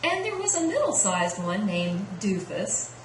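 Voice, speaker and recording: A woman's voice: mid-range voice, sing-song, a little slow. A woman narrating a children's story as a puppet-show storyteller. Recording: roomy sound with clear background noise.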